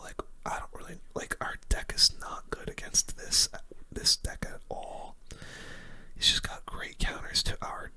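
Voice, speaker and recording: Someone whispering casual, laid-back game commentary in a soft, breathy ASMR style, close to the microphone. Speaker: gaming video creator.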